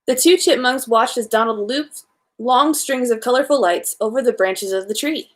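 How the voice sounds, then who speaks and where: High-voiced woman reading a bedtime story. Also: normal speed. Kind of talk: storybook read-aloud.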